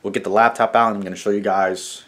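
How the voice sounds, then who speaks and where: Hoarse man vlogging from his garage. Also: fairly low pitch, steady tone, fast, a bit bright, slight background noise. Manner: chatty, rambling, self-deprecating, frequent restarts. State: hoarse, lost voice, sniffly. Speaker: car vlogger. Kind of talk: casual how-to vlog talk to camera. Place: home garage, then inside a parked car. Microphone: handheld camera at arm's length.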